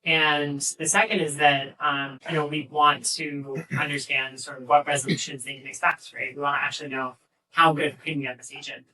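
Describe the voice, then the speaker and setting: A man answering as a panelist at a live fireside chat, explaining in a halting way, picked up by a distant mic. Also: fairly high pitch, bright, forceful tone. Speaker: panelist, AI agent engineer. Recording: distant mic, poor event audio.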